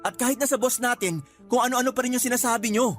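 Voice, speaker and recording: A man's voice: extremely high pitch, quick pace, fairly bright tone, faint music behind. An actor playing an earnest young male employee and loyal boyfriend in a Filipino radio drama, polite but firm. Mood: firm, aggrieved.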